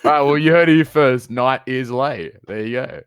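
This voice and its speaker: A man hosting a casual podcast, energetic and slangy, laughing often as he talks over an online call. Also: medium pitch, normal speed, smooth, dry recording, studio quality. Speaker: podcast host. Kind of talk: casual chat.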